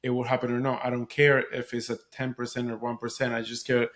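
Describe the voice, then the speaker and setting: A man's voice: medium pitch, completely flat delivery, fairly bright tone. Man. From Venezuela. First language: Spanish. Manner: earnest, candid, halting restarts. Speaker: podcast guest, surrogacy community co-founder. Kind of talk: casual conversational interview.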